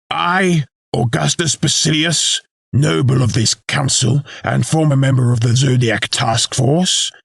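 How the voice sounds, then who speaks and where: An actor playing a self-important, scheming noble lord in an audio drama, with formal, measured, pompous delivery. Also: male voice, a little high, vocal fry, a bit bright, breathy edge, full character voice. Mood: proud, ceremonious. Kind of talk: scripted audio drama acting.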